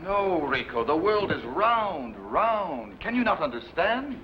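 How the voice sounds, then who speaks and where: A steadfast admiral at sea played by a man in a vintage radio adventure. He is firm and earnest, with a very animated, mid-pitched voice. The recording is dull, with faint noise behind it. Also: slow pace. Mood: earnest, insistent. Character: steadfast, commanding male ship's admiral and explorer. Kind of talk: old-time radio adventure acting.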